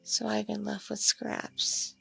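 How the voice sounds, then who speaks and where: A woman playing a seductive, hungry mature vampire mother in an intimate ASMR roleplay, teasing and condescending, breathy and slipping into whispers. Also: mid-range voice, completely flat delivery, a bit bright, faint music behind, heavily acted, poor audio. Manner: slow, teasing, hesitant hums and pauses.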